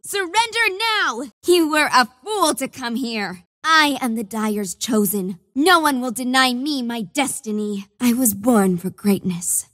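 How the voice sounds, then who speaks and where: An actress playing a bloodthirsty young female warlord of a dark cult in a video game, with imperious, menacing, theatrical delivery. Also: on the high side, expressive, a little slow, hardly any pauses, no room sound, studio quality. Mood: commanding, vengeful.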